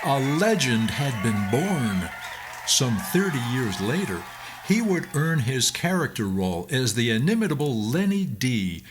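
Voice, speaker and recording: Expressive male storyteller with swooping intonation. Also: mid-range voice. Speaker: storyteller, former music teacher. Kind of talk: scripted first-person narration of a memoir.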